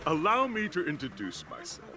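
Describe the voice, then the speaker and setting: An actor playing a flamboyant, self-important company director in a video game, showy and pompous. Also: male voice, on the high side, huge pitch swings, brisk, heavy background noise.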